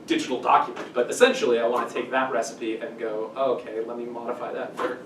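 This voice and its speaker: A man's voice: little pitch movement, fast talker, fairly bright tone. A man in his thirties giving an informal workshop presentation in a casual, hedging way, often interrupting himself. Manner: casual, self-interrupting, hedging with kind of and sort of. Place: small meeting room, indoors. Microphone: distant camera mic. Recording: echoey room, distant mic, rumbling noise.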